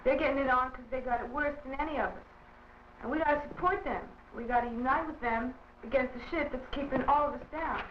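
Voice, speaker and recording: A young American woman addresses a group as a student activist. Her voice is high, with plenty of room echo on a muffled old film recording. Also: fast talker, slight background noise. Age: teens to young adult. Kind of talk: political speech to a group. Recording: roomy, dull old 16mm film sound.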